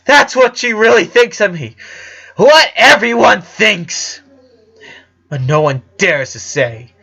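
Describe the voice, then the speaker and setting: A man's voice: sits high, expressive, slow pace, gravelly, pushed and bright, breathy edge, voice pushed up, full character voice. A man voicing an anguished teenage girl in an audio story, hurt, self-blaming and emphatic. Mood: hurt, angry. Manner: pained, emphatic, pleading.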